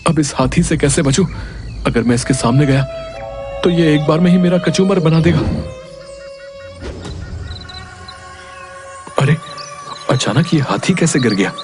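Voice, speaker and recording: Man playing a high-voiced, wide-eyed young cartoon hero. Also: animated, moderate pace, lightly acted. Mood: alarmed. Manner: wondering, exclaiming, talks to himself. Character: naive, easily startled young male cartoon hero. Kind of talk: Hindi cartoon story voice acting. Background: music.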